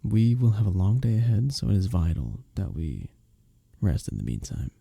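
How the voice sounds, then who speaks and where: Man playing a soft dark-voiced, courtly young innkeeper. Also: sits low, sing-song, moderate pace, vocal fry, breathy edge, no room sound, heavily acted. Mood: earnest, admiring. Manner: formal, courtly phrasing with bashful hesitations. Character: courteous, smitten young male village innkeeper, tender and earnest. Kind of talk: intimate romantic ASMR fantasy roleplay.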